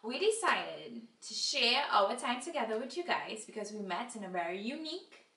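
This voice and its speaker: A young Trinidadian woman hosts a casual couple vlog with bubbly, animated energy. Her fairly high, bright voice carries well. She is recorded by a camera a few feet away in a small room with a roomy echo. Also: normal speed, distant mic. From Trinidad and Tobago. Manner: bubbly, animated, laughs often. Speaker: vlogger co-host. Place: small indoor room against a wall.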